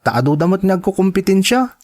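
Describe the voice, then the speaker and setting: An actor with a Filipino accent playing an earnest, determined young college student in a radio drama, with an imploring manner. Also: male voice, high-pitched, big pitch swings, brisk, darkish tone. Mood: earnest, confiding. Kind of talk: Ilocano radio-style drama acting.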